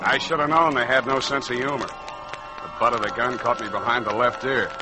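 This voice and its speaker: Deadpan hard-boiled radio detective, acted by a man. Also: mid-range voice, moderate pace, close to an everyday voice. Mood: wry. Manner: terse, slangy, deadpan delivery. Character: tough wisecracking hard-boiled private detective, adult man. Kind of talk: old-time radio detective drama acting with narration. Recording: vintage radio recording with music behind.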